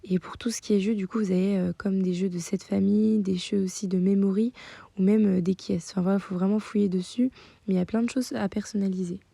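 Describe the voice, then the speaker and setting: A woman's voice: medium pitch, fairly even intonation, quick pace, gravelly, warm tone, husky. A young woman giving a casual voice-over of a website, hesitant, with many fillers. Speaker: vlogger doing screen-tour voice-over.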